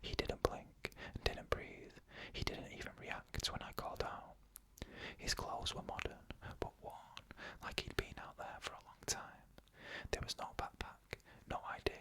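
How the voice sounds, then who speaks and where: A storyteller who whispers every word, unvoiced and close to the microphone. The breathy whisper has a bright, crisp edge, and each short phrase is set apart by calm, measured pauses, like bedtime reading of eerie tales. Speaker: ASMR storyteller. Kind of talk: whispered ASMR narration of scary stories.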